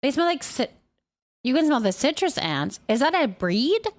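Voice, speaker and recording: A woman's voice: high-pitched, big pitch swings, normal speed. A woman chatting casually as a live streamer, reading viewer comments aloud and musing on them. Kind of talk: casual livestream chat.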